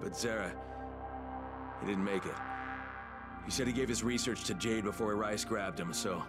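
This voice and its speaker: A gritty, determined male survivor from a zombie video game, voiced by a male actor. He talks in terse, urgent bursts with plenty of swearing. Loud game music plays behind him. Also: fairly low pitch, normal speed, natural voice. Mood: grim, weary.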